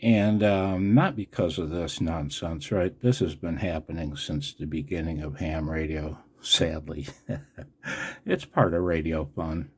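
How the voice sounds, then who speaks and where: An older man from the United States in a casual, unscripted vlog as a radio operator, blunt and wry with a gravelly, husky voice. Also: a bass voice, measured pace, a little mellow. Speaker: amateur radio operator and video host. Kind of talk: casual, unscripted video commentary.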